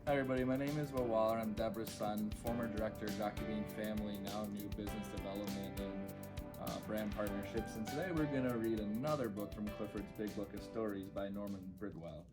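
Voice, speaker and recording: A man's voice: lowish voice, fairly even intonation, a little fast, loud music behind the voice. A man reading a children's storybook aloud as a guest reader.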